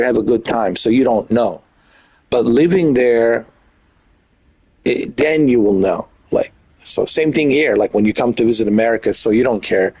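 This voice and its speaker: A man's voice: darkish tone, husky, no echo, low-quality recording. A man in his fifties, originally from Europe, chatting loosely and repetitively while streaming a game. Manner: loose and repetitive, full of "like" and "dude"; mimics others in a high mocking voice. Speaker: gaming streamer. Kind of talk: casual rambling chat.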